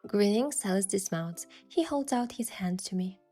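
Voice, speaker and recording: Woman voicing a young game heroine, mid pitch, affectionate n playful, telling her romance over soft music. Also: animated, moderate pace, close to an everyday voice. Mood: soft narrating. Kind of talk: romance game narration and dialogue.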